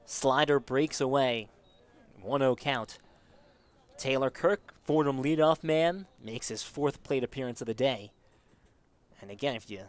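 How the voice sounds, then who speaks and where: A man calling live baseball play-by-play as a sports commentator. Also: mid-range voice.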